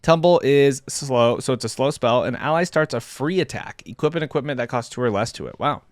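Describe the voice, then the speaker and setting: A man's voice: medium pitch, a little fast, no echo, studio quality. A man giving casual reaction commentary as a gaming channel host, chatty and thinking aloud. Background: game theme music.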